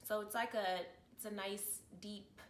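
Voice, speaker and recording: A woman's voice: mid-range voice, fairly even intonation, unhurried. A woman of thirty-one talking casually about herself as an interview guest. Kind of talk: casual personal chat. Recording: echoey room with faint noise.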